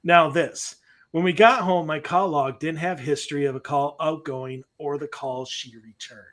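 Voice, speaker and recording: Male co-host, higher pitch, playful and laughing, reads stories aloud, webcam audio from a home room. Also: steady tone, a bit bright. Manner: playful, quick to laugh, drifts into a sing-song voice. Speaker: podcast co-host. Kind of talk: casual chat, reading a listener story aloud. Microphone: webcam call from home.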